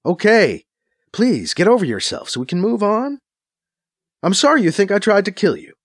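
A man's voice: high-pitched, huge pitch swings, moderate pace, no room sound, voice pushed up, exaggerated voice. A man voicing a sly, insistent woman who is teasing, sarcastic and easily exasperated, in a science fiction audiobook.

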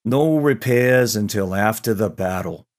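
A man's voice: lowish voice, lively intonation, measured pace, pitched below the performer's own voice. A man voicing a laconic, wary transport pilot in an audio story, casual and matter-of-fact. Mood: dry refusal. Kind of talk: audio story character dialogue.

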